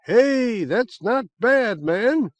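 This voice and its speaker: Guy voicing a wild manic monk dude, yells high and loud, dry sound. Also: lively intonation, drawn-out words, no echo, heavily acted. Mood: blissful. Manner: yelling, frantic. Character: excitable eccentric male monk, manic and wild.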